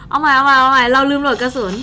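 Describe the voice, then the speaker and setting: A young woman with a high-pitched voice gives casual gameplay commentary as a channel host. She talks in a chatty, informal way as she plays. There is clear noise in the recording. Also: level tone, rapid-fire, bright, forceful tone. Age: young adult. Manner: chatty and informal, exclaiming in play. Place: indoor streaming setup at a desk.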